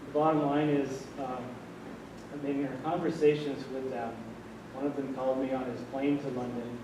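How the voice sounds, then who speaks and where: A soft-spoken man in his sixties from Vermont, speaking from the floor at a panel discussion in a rambling way with many fillers. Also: medium pitch, little pitch movement, moderate pace. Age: 60s. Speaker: audience member at a panel. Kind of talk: public comment at a panel discussion. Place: large hall. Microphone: distant room mic. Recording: echoey hall, distant noisy mic.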